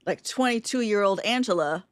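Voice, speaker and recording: A woman's voice: mid-range voice, moderate pace, no echo, clean studio sound. A woman co-hosting a podcast, thinking aloud casually with many fillers. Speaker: podcast co-host. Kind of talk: casual live podcast brainstorm.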